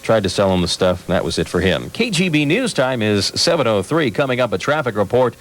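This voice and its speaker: Lively-voiced male radio newsman. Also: on the low side, brisk. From California. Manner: wry, joking asides between news items. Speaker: radio news anchor. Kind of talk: morning radio newscast with banter.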